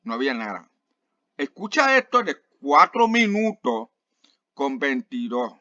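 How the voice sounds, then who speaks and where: Slow-talking man with many fillers. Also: mid-range voice, big pitch swings, bright, forceful tone, no echo, muffled recording. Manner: halting, with many fillers and restarts. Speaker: online Bible teacher. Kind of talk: casual video commentary.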